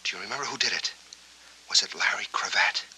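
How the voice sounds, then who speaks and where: A man's voice: on the low side, expressive, fast, pushed and bright, husky, heavily acted. An actor playing a troubled, insistent man seeking answers in a 1940s film noir, talking in terse, urgent questions. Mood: urgent, pressing. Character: tense, insistent amnesiac veteran hunting answers, noir hero. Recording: noisy, humming 1940s film soundtrack.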